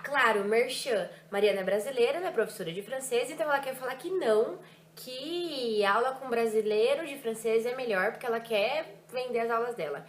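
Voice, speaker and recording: Lively, emphatic young woman teacher. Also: on the high side. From Brazil, Ribeirão Preto. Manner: lively, emphatic, drops French words into Portuguese. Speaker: French teacher. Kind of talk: personal reflection vlog. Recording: echoey room with faint hiss.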